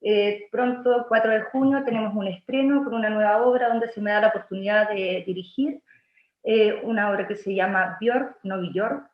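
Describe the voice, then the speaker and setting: A Chilean actress and playwright talking over a video call, halting, with many restarts. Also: female voice, medium pitch. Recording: echoey room, muffled lo-fi sound.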